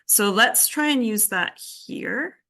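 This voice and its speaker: Woman teaching a coding workshop, slow pace, bright voice, kinda working it out out loud. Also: a little high, expressive. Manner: informal, tentative, thinking aloud. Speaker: workshop instructor. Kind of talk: live coding demonstration, thinking aloud. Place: indoor group workshop session.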